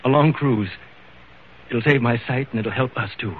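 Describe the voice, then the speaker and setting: An actor playing an earnest businessman husband in an old-time radio drama, speaking in a measured, probing and hesitant way. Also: medium pitch, close to an everyday voice. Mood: earnest, pleading. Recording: tinny, noisy old radio recording.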